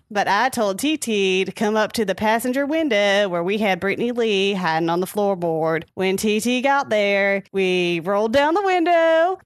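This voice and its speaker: A woman's voice: fairly high pitch, dry recording, clean studio sound, natural voice. A woman playing a breezy, clueless lady, telling folksy stories in a radio comedy monologue. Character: chatty, cheerfully clueless Southern lady sharing life updates.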